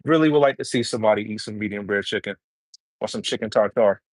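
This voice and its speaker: A man's voice: on the low side, fast talker, no echo. Easygoing New man cooking live. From New York City, Brooklyn. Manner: easygoing, chatty, answers viewer comments while cooking. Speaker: home cook livestreaming. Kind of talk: casual livestream cooking chat.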